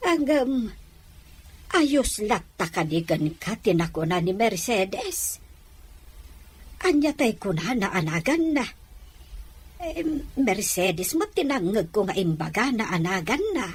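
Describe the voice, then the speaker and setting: A woman playing an attentive household nanny, deferential and expressive, in an Ilocano audio drama. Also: mid-range voice, takes it slowly, pauses often, breathy edge, exaggerated voice. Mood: concerned, admiring. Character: attentive household nanny addressing her mistress.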